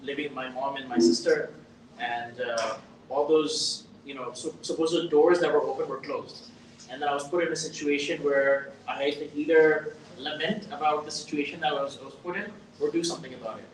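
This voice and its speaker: A man in his thirties, raised in the Middle East, telling his story as an interview guest in a measured way with pauses. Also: fairly high pitch, steady tone. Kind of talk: conversational interview. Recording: echoey room from a distant mic, faint noise.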